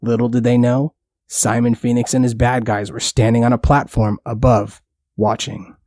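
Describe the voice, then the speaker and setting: A man's voice: medium pitch, flat delivery, moderate pace, a little mellow, no room sound, clean studio sound. A man narrating a scripted bedtime story for children in plain, simple storytelling language.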